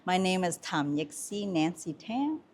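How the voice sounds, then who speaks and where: A woman in her thirties from Hong Kong, a composer, speaking reflectively in halting phrases full of fillers. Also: on the low side, very animated. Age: 30s. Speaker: composer and sound artist guest. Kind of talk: casual conversation.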